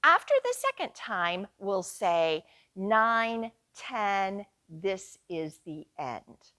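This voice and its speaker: A woman reads to young children as a storytime librarian. Her delivery is extremely unhurried and deliberate, with lively swings in pitch. She sounds singsong and instructional, guiding little listeners along. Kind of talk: slow read-aloud storytime for young children, with sung refrains and action rhymes.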